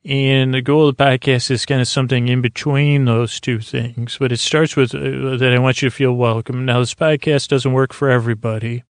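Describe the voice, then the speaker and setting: A middle-aged man with a creaky voice hosts a sleep podcast, giving a gentle, soothing bedtime monologue that meanders, halts and interrupts itself. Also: mid-range voice, flat delivery, normal speed, hardly any pauses, no room sound.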